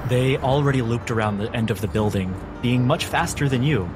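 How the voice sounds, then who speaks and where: A man as game master, narrating scenes in a measured, descriptive way with dramatic pauses. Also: mid-range voice. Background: music.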